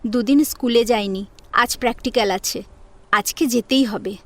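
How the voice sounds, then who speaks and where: A woman playing a meek, downtrodden schoolgirl in an audio drama, speaking softly, hesitantly and submissively. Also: fairly high pitch, normal speed, no echo, natural voice. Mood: quietly pleading. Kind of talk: Bengali audio drama acting. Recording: music under the voice.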